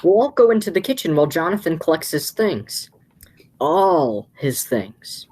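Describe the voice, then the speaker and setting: A woman playing a husky, flustered, scheming brother. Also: sits low, very animated, a little slow, a little mellow, full character voice. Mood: flustered, insistent. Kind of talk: radio play acting, high school theatre.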